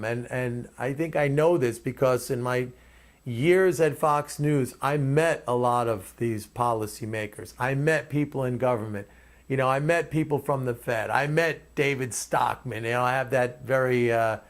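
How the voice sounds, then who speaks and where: A man hosting his own commentary, speaking off the cuff in an emphatic, opinionated way. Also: mid-range voice. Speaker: commentator and host.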